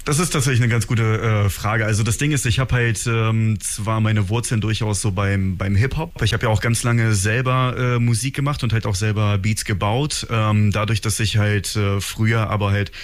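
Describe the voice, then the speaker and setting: A man's voice: lowish voice, fairly even intonation, moderate pace, hardly any pauses. A man born in Kazakhstan, a rapper in a band, answering interview questions in a rambling way with many fillers. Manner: rambling, heavy use of fillers like halt and äh. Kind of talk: casual interview chat.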